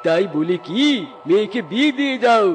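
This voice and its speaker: A man's voice: high-pitched, animated, moderate pace. A man playing a self-assured animal village doctor in a comic cartoon, advising in a matter-of-fact way. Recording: faint music under the voice.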